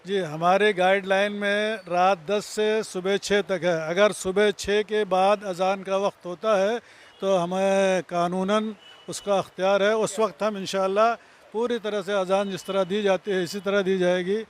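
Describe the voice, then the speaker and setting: Older man, high monotone delivery, formal community statement, faint background noise. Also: a little slow. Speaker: community religious representative.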